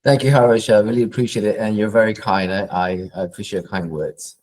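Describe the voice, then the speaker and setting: A middle-aged man from Malaysia speaks as an interview guest, his voice sitting low. He answers at a conversational pace, pausing often and repeating words as he gathers his thoughts. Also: steady tone, a little mellow.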